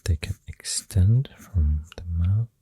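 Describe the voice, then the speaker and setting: Super soft breathy asmr host w swedish accent, slow n deep, halting, close dry mic. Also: no echo. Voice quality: breathy, half-whispered. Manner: hushed, hesitant, pausing mid-thought. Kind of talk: soft-spoken ASMR rambling. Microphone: close ASMR microphone. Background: pencil writing sounds.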